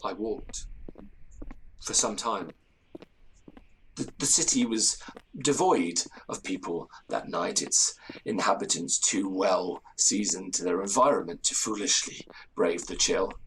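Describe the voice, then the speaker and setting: An actor playing a fearful, haunted gentleman, telling his ghostly tale in formal, grave gothic prose. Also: sits low, a little hiss or hum, heavily acted, rough recording. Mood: weary, haunted. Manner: formal, literary, grave phrasing. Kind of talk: dramatic first-person gothic storytelling.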